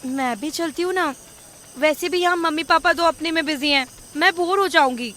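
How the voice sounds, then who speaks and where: Young female partner played by a woman: extremely high, sharp voice, indignant, chatty, English-mixed, audio drama. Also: moderate pace. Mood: casual, insistent. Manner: casual, indignant, mixes in English words. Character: outspoken, questioning young female business partner. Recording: music under the voice.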